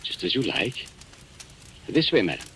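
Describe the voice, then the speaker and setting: An old radio mystery recording with some hiss carries a suave villain. His voice sits fairly low and swings with theatrical relish. He is polite and smug, a menacing shopkeeper who taunts as he blackmails. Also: sing-song, moderate pace. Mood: courteous, smug. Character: suave, menacing male antique-shop owner and blackmailer.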